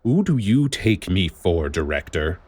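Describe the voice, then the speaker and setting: A man's voice: low voice, very animated, a little slow, a little mellow, husky, full character voice. A man voicing a stern, furious military officer who speaks with snarling, emphatic contempt in an audio story.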